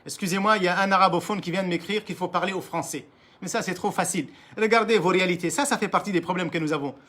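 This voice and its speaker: A man with a fairly high voice speaks quickly and insistently. His words tumble out with frequent restarts and repeated phrases as he challenges leaders directly, in an impassioned political monologue. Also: sharp tone. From Mauritania. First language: Arabic. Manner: emphatic, repetitive, restarts often, addresses leaders directly. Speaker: political commentator.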